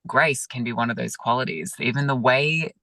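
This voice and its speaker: A man, a yoga teacher, talking gently and reflectively over a video call, with many pauses and fillers. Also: medium pitch, no echo. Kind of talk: reflective interview talk.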